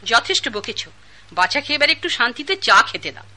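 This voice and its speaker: A woman's voice: fairly high pitch, big pitch swings, fairly quick, pushed and bright, full character voice. An actress playing a wealthy, snobbish mother who dotes on her son in a radio drama. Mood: dismissive.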